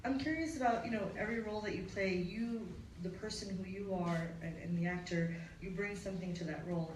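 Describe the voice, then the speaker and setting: A woman's voice: medium pitch, fairly even intonation, brisk. A woman asking a spontaneous press conference question, tentatively searching for her words. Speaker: audience member asking a question. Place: arts center hall at press conference. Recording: echoey room, distant mic, clear noise.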